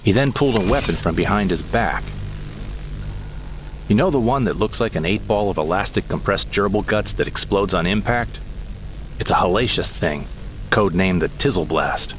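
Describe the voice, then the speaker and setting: A man's voice: sits low, expressive, moderate pace, a little hiss or hum, rough recording. A man narrating a comic audio drama in the first person, in a wry, theatrical storytelling manner. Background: music.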